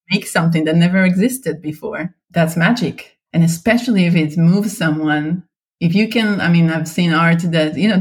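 A woman from Israel, a guest artist answering interview questions in a thoughtful, hesitant way, with many pauses and ums. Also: low voice.

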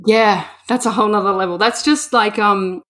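A woman's voice: mid-range voice, normal speed, fairly bright tone. A woman from Australia, interviewing a guest in a chatty, rambling way. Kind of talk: casual interview.

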